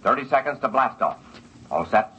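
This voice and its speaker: A man's voice: very animated, moderate pace, close to an everyday voice. A man playing a stern but regretful spaceship commander, terse and authoritative, in 1950s radio drama style. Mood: brisk, stern. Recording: dull old radio recording, loud rumbling hum.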